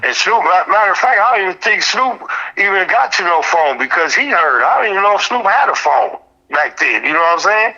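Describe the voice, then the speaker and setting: An American man talks casually as an interview guest in a fairly high, piercingly bright voice. He is slangy and emphatic, repeating himself to drive points home. The sound is a bit dull, like radio. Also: big pitch swings, fairly quick. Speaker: podcast guest.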